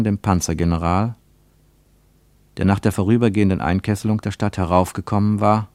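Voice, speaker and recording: A man reading scripted literary narration for radio in a measured, solemn manner. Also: fairly low pitch, a little mellow, no echo.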